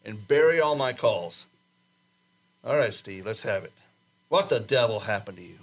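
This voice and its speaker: A man acting an anxious, ageing, gruff test flight chief in a radio drama, with an emphatic delivery. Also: fairly low pitch, lively intonation, normal speed. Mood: brisk, impatient.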